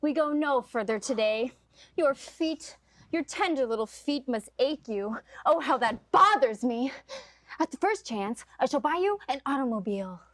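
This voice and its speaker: A woman's voice: high voice, animated, normal speed, a bit bright, dry recording, studio quality. A woman playing an exuberant, teasing male clown in a stage play, with declamatory, mock-grand delivery. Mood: mock-tender. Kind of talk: theatrical stage acting.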